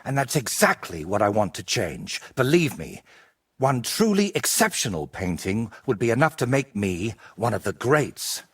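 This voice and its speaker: A vain dreamer painter from a medieval game, lively pitch, slightly husky, theatrical. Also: male voice, normal speed, exaggerated voice. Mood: proud, persuasive. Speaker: painter character. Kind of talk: video game cutscene dialogue.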